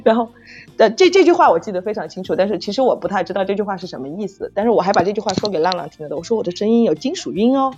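Woman guest, lo-fi sound, animated intonation, self-deprecating and giggly, halting casual talk. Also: medium pitch. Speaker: novel author, guest. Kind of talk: casual unscripted chat. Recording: muffled lo-fi audio, music behind. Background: saxophone background music.

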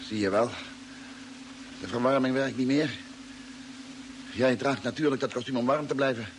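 An actor playing a confused, irritable male astronaut waking up weakened in a Dutch radio drama, querulous and halting. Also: medium pitch, close to an everyday voice. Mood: puzzled, irritable. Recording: noisy old radio drama recording.

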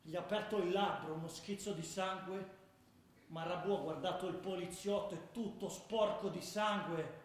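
A man's voice: high voice, steady tone, normal speed, close to an everyday voice. A male actor playing a rowdy football ultra, relishing mayhem in vivid radio-drama storytelling. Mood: awed relish. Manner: vivid, swinging from hushed to explosive. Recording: echoey, distant, noisy room.

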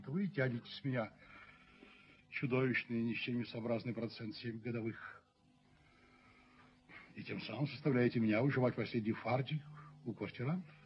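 Casting: male actor as self-righteous middle-aged property owner, coolly persuasive, measured rhetoric; dulled, slightly noisy radio drama sound. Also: medium pitch, steady tone, lightly acted. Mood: indignant, pointed. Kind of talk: 1970s Soviet radio play acting. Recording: muffled old radio recording with noise.

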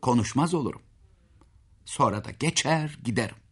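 A man's voice: mid-range voice, expressive, moderate pace, slightly rough. A man voicing a humble but proud young fisherman's son, speaking earnestly and firmly in a fairy tale. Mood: earnest warning.

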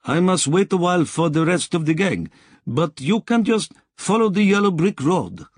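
A man voicing a cheerful female site worker with a Russian accent, bright and teasing. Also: high-pitched, lively intonation, normal speed, husky, dry recording, clean studio sound, voice pushed up.

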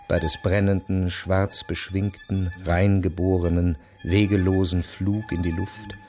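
A man with a low voice recites sacred verse slowly and solemnly. Faint music plays behind him as he gives each phrase measured weight. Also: a little mellow. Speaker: reciter in a radio play. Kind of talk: poetic recitation of sacred hymns.

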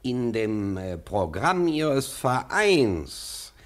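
An imperious official with wildly swooping pitch. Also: male voice, sing-song, drawn-out words. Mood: stern, self-assured. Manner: theatrical, emphatic, sarcastic, swinging from muttering to barking. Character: imperious, blustering male investigating official, pedantic and easily outraged. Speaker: actor. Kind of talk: German classic radio play acting.

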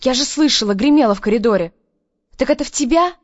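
A brash, impulsive young woman with a quick, sharp tongue, as a male narrator puts her on. Her voice sits high above his usual register. The audiobook recording is dry and a little dull. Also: animated, no room sound. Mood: fussing. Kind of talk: audiobook reading.

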